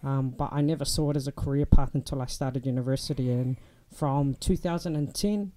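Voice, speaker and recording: A young man with a New Zealand accent, chatting as a guest in a casual interview, relaxed and full of ums and restarts. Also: mid-range voice, fairly quick.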